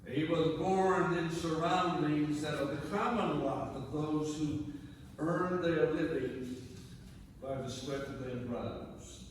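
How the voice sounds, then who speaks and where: A man's voice rings through a very echoey church hall, picked up from a distance. He delivers a solemn reading like an orator, very slowly and deliberately. His pitch sits on the high side for a man, and his phrasing is dramatic and emphatic. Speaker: reader at a church service. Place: large reverberant church indoors. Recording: very echoey church hall, far mic, noisy.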